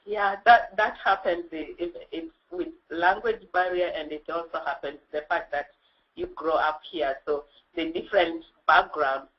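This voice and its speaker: Halting higher-pitched man. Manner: halting, searching for words. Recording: muffled, band-limited webinar audio.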